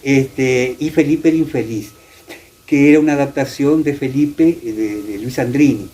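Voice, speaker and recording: An older man with an Argentine accent, reminiscing in casual conversation, haltingly and often repeating words. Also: mid-range voice, warm tone. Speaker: interviewee, veteran radio drama actor.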